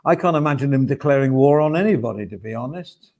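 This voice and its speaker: A man's voice: mid-range voice, lively intonation, quick pace, a little mellow. A man hosting a livestream, chatting casually and reading out viewer comments. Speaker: stream host. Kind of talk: casual chat.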